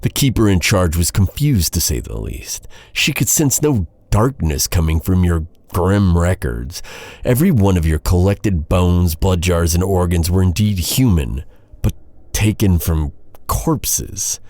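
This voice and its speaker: An actor playing an embittered, vengeful knight in a dark fantasy audio roleplay, brooding and intense, with halting dramatic delivery. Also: male voice, low-pitched, lively intonation, no room sound, heavily acted. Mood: grave, restrained. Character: vengeful, embittered male knight interrogating his captive enemy.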